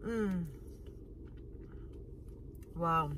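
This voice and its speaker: A woman's voice: lowish voice, drawn-out words, a bit bright, slight background noise. A woman in her thirties chatting casually while eating, filming a food review on her phone in a parked car. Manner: chatty, eating while talking, smacking sounds. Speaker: vlogger. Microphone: phone camera at arm's length.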